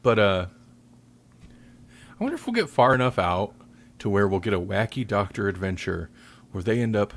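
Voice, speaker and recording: A man hosting a podcast, chatting casually about audio dramas in a halting, rambling way. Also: on the low side, lively intonation. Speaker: podcast host.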